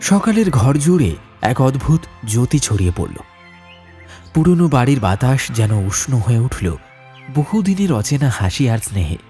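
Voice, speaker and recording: A man's voice: mid-range voice, very animated, normal speed, dark tone. A man narrating a scripted ghost story as a dramatic audiobook. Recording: music bed behind narration.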